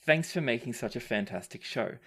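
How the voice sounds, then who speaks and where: Podcast host, game master, animated intonation, mid pitch, casual question-reading, playfully emphatic. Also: a little fast, husky.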